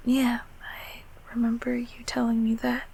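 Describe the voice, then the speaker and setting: A woman voicing a gentle, protective childhood closet monster in a comfort audio roleplay, speaking haltingly in a breathy, raspy whisper. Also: fairly high pitch, monotone, slight background noise. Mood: tender, patient. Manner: halting, soothing, softly spoken.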